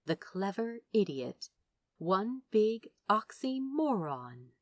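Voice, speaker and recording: A woman's voice: mid-range voice, very animated, takes it slowly, no room sound, studio quality. A woman reading fiction aloud as a narrator, in a dramatic, emotive storytelling style. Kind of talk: expressive scripted audiobook narration.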